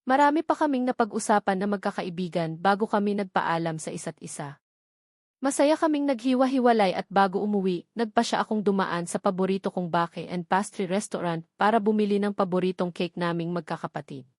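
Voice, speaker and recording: Female audiobook narrator for first-person fiction, mid-range pitch, dry recording. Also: a little fast, darkish tone, dry recording.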